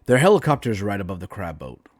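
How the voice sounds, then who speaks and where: A man reading a superhero story aloud in a dramatic, emphatic storytelling style with deliberate phrasing. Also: lowish voice, big pitch swings, no echo, studio quality. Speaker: author reading own story, writing teacher.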